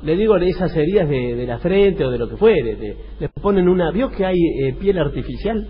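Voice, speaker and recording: A man's voice: on the high side, animated, a little fast, slightly rough. A man with an Argentine Rioplatense accent co-hosting a radio comedy, explaining and correcting earnestly and a bit hesitantly in improvised dialogue. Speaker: radio comedy show co-host. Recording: dull, noisy radio recording.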